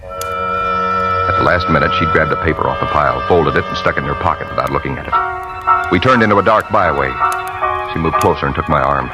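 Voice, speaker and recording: An actor playing a haunted, desperate man on the run in an old radio noir, with tense, halting delivery. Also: rumbling low, rough recording. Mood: brooding, tense. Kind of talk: 1940s-50s radio noir acting, confessional narration and dialogue. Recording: vintage radio drama with loud music.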